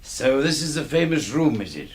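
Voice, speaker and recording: An actor playing an arrogant older aesthete villain in a radio drama, pompous, theatrical and grandly scornful. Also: male voice, medium pitch, very animated, normal speed, breathy edge. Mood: sneering, amused. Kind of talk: suspense radio drama acting.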